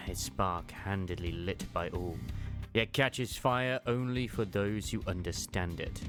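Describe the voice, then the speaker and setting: A man voicing a bookish, reserved martial archivist in a game fan dub, speaking in formal, archaic, measured phrases with gentle wistfulness. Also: deep voice. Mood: solemn, reflective. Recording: music under the voice.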